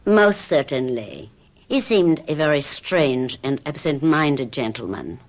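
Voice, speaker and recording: A woman's voice: very deep voice, expressive, slow pace, heavily acted. A woman playing a polite, knowing innkeeper and mother, speaking formally and mysteriously in a radio drama. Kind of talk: 1970s radio drama acting.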